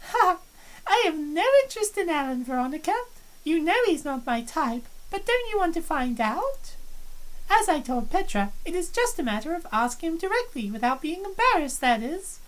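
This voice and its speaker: A woman's voice: sits high, very animated, normal speed, pitched above the performer's own voice, rough recording. A woman voicing a confident, teasing plump college student in a fan-voiced visual novel. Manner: self-assured, teasing.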